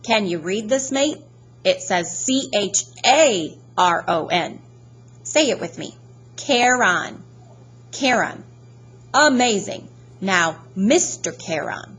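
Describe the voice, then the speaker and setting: A woman voicing a smug, elegant, menacing male guard of the underworld with a smooth, condescending British delivery. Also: mid-range voice, lively intonation, takes it slowly, fairly bright tone, a little hiss or hum. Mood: smooth condescension.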